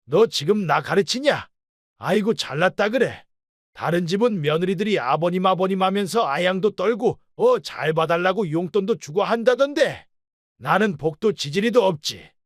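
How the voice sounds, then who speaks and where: Man playing a brazen, high-pitched old father-in-law. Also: animated, moderate pace, no echo, clean studio sound. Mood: sarcastic grumbling. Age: older. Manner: scolding, coarse, self-pitying. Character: brazen, blustering old father-in-law, blaming everyone else. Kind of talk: Korean radio drama acting.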